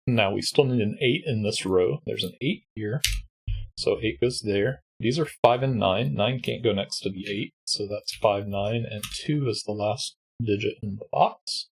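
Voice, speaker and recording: A man's voice: on the low side, measured pace, vocal fry, slightly rough, rough recording. Congested man explaining a sudoku. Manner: patient step-by-step explaining. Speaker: puzzle solving host. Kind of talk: sudoku walkthrough.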